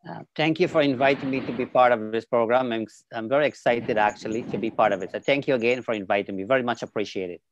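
A man's voice: medium pitch, quick pace, hardly any pauses, a little hiss or hum. A middle-aged man from Guyana, a social worker explaining his work as an interview guest in a friendly way with many fillers. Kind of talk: video call interview. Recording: dull lo-fi sound.

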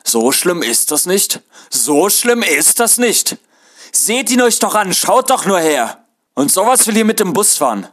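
A man's voice: high voice, sing-song, slow, hardly any pauses, warm tone, voice pushed up, heavily acted. Man acting a scolding, bellowing female bus conductor. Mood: outraged, bellowing.

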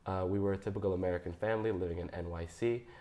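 Young man, very low voice, flat monotone, careful reading of a statement. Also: rapid-fire. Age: young adult. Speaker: guest. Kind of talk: reading a personal statement aloud.

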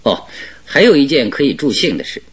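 A clever jovial detective in a radio play, bit husky, really swingy pitch, sure of himself. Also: male voice, sing-song, fairly quick. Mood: modest, genial. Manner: chuckling, expansive, leisurely explaining his deductions. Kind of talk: Mandarin radio drama acting.

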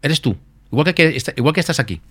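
Fast-talking man explaining eagerly. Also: fairly high pitch, slightly rough, no room sound, studio quality. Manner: fast, animated explaining with many asides. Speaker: guest, lucid dreaming expert. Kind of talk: podcast interview explanation.

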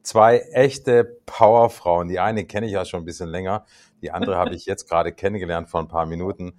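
A man in his sixties co-hosting a podcast, joking playfully and pausing often on "äh" and "ähm". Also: low-pitched, fairly even intonation, a little mellow. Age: 60s. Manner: joking, playful, hesitant with fillers. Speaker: podcast co-host. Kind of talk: casual interview chat.

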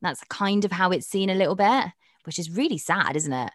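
A young woman with a notably low voice talks frankly and chattily as an interview guest, thinking out loud as she goes. The sound is dry and close, with no room around it. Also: animated, fairly quick, dry recording. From Pakistan. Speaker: presenter and dating podcast host, guest. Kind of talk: candid interview conversation.

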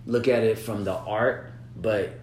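Lowish guy rambling thru business ideas, keeps repeating himself, echoey room. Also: steady tone, unhurried, darkish tone, a little hiss or hum. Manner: rambling, repeats words, frequent "you know what I'm saying". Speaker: business-minded artist partner. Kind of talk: casual planning chat.

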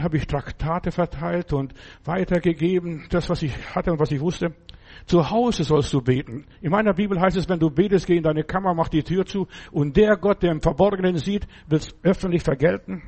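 Male pastor, older, gravelly and husky, fairly high voice, earnest exhorting sermon delivery. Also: a little mellow. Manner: earnest, exhorting, repeats key phrases, slow emphatic stresses.

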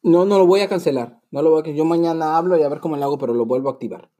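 A man's voice: on the high side, rapid-fire, dark tone, close to an everyday voice. A man improvising a flustered, bickering husband on a prank phone call, stalling, repeating himself and pleading melodramatically. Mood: indignant. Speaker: podcast host making a prank call.

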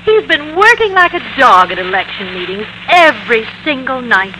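An actress playing a genteel, easily indignant middle-aged wife in a theatrical 1950s radio sitcom style. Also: female voice, high voice, very animated, moderate pace. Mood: genteel, righteous. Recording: noisy old-time radio recording.

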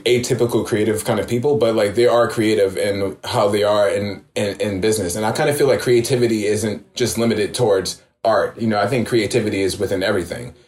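A man in his thirties from New York, a guest in a casual interview, talking in a relaxed, reflective way with many fillers. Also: on the low side, reverberant. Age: 30s. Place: home room.

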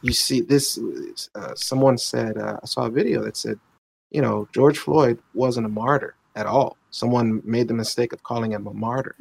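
A man in his late thirties speaks thoughtfully in a relaxed conversation, often restarting his sentences and leaning on filler phrases. His voice is mid-pitched with a steady gravelly fry. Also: moderate pace, slight background noise, low-quality recording. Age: 30s. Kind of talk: reflective conversation.

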